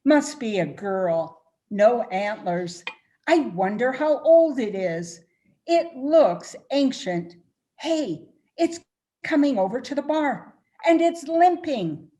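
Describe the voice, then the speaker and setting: A woman playing a young, skeptical and excitable bar patron in a radio-style play, with an exclamatory, incredulous delivery. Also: on the high side, takes it slowly. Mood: astonished. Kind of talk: radio-play style readers theatre acting.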